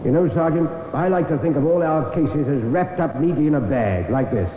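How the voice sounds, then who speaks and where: An actor playing a reflective, deliberate police inspector in an old radio drama. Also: male voice, fairly high pitch, close to an everyday voice. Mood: musing. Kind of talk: 1950s radio drama acting. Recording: echoey, tinny, noisy old radio recording.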